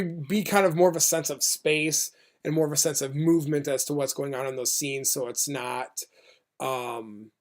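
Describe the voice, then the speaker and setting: An American man giving a casual vlog update as an animator, speaking informally in a rambling, hesitant way. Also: mid-range voice.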